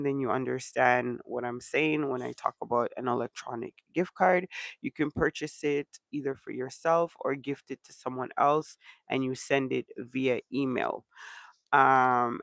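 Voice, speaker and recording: A man with a stuffy, congested voice hosts a casual livestream chat from home, rambling loosely and filling his pauses with ums. His voice has a gravelly, fry-heavy edge. The sound is dry and close to the mic. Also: mid-range voice, no room sound. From Canada. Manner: relaxed rambling with many ums. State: congested from allergies. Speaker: channel host.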